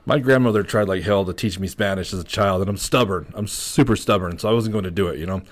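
A man's voice: low-pitched, quick pace. A man from the United States hosting a podcast, joking around casually, swearing and laughing often. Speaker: podcast host. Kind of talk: casual chat.

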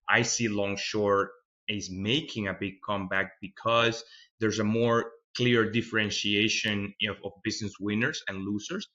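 A man's voice: on the low side, sharp tone, highs cut off. A man in his thirties from Venezuela, a fund manager answering interview questions thoughtfully and often repeating a word as he finds the next one. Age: 30s. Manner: thoughtful, repeats words while finding them. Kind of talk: podcast interview.

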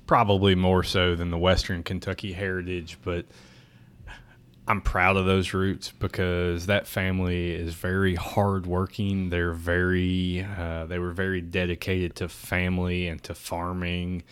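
Host, male, 30s, very low voice, casual and jokey. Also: monotone, a little slow, fairly bright tone, clear, resonant tone. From southern Indiana. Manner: joking, boisterous. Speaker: podcast host. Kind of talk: casual chat.

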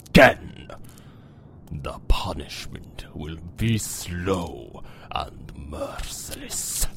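Deep, slow, courtly vampire master. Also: male voice, vocal fry, heavily acted. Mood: cold menace. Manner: formal, old-fashioned, measured phrasing. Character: courtly menacing vampire master, lovesick and formal. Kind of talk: radio drama acting.

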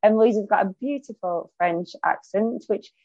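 A woman's voice: mid-range voice, normal speed, dark tone, dry recording. A woman with a broad Lancashire accent hosting a podcast interview, chatty and enthusiastic, with frequent ums. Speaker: podcast host. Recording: dull lo-fi sound, dry, faint music.

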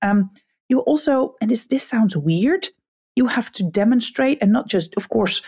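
A woman's voice: a little high, expressive, a little slow, warm tone, breathy edge. A woman with a Dutch accent, whose first language is Dutch, hosting a solo podcast and giving direct, blunt advice to leaders. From Netherlands. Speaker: podcast host, customer experience coach.

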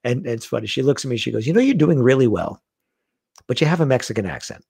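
Animated middle-aged man telling stories. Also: mid-range voice, fast talker, gravelly, husky, no room sound. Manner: animated storyteller, acts out quoted lines. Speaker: guest. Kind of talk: casual storytelling conversation.

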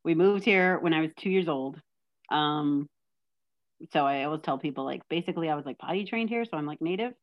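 A woman's voice: low voice, fairly quick, highs cut off. A woman from Texas chatting as a podcast guest, joking and poking fun at herself. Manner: joking, self-deprecating storyteller. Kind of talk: casual chat.

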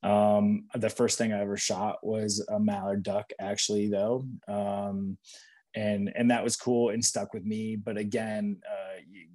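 Relaxed, rambling man with a lowish voice. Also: measured pace. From Michigan. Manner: rambling, relaxed, many fillers and restarts. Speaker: outdoor gear category manager, interview guest. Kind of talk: casual conversational interview.

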